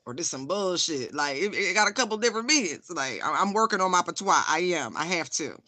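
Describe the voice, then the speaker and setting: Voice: mid-range voice, animated, quick pace, pushed and bright, dry recording. A tarot reader giving a casual spiritual reading, frank and profane, laughing often and repeating phrases for emphasis.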